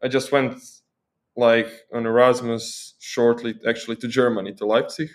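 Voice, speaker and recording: A man's voice: mid-range voice, flat delivery, normal speed. A young man from Croatia with a Croatian accent, a guest talking casually and thoughtfully in an interview, often restarting his phrases. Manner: thoughtful, with frequent restarts and fillers.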